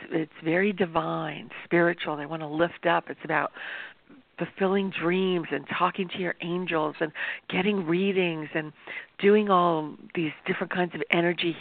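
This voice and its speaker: A woman astrologer hosting a show, talking to viewers in a chatty, explanatory way, with a sore throat that makes her voice gravelly. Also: fairly low pitch, dry recording. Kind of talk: informal talk-show monologue. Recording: tinny phone-like dry sound.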